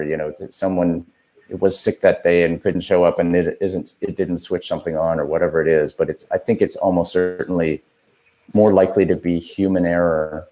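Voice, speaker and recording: Low, mellow-voiced man advising in a meeting. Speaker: streaming software adviser. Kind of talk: casual advisory talk in a business meeting.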